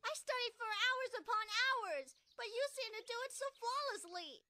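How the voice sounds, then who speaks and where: A woman's voice: very high-pitched, expressive, moderate pace, pushed and bright, exaggerated voice. A woman playing a frustrated, pouting young pony filly in a cartoon fan audio drama. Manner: indignant, pouting, exclaiming.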